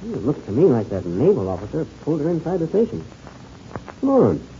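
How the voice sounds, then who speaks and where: An actor playing a hearty, excitable adult pilot in an old-time radio adventure serial. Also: male voice, a little high, brisk. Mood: baffled, urgent. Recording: muffled, hissy old radio recording.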